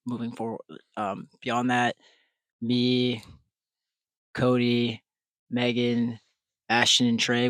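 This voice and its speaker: Low, flat man speaking haltingly. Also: no echo. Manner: slow, halting, many ums. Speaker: finalist in an online game. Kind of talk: answering jury questions.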